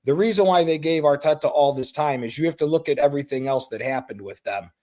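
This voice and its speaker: A man's voice: a little high, fast, dark tone. An American man calling in to a football chat show, making his point bluntly and swearing freely. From United States. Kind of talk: heated call-in football chat.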